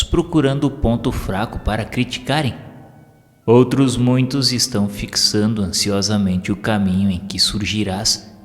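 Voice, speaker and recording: Brazilian male storyteller, lower-pitched, scripted spiritual narration, cavernous reverb, music underneath. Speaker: audiobook narrator. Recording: long reverb with music behind.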